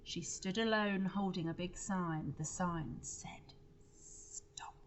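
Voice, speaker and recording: A woman's voice: on the low side, slow, a little hiss or hum. A woman reading a storybook aloud to children like a teacher, expressively, with explanatory asides and questions to her listeners.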